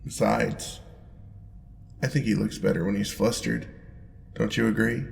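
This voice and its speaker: A man playing a smug, indulgent demon lord in an audio roleplay, with a deep gravelly voice and a playful, purring, drawn-out teasing manner. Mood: teasing, seductive. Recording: long reverb, clear background noise.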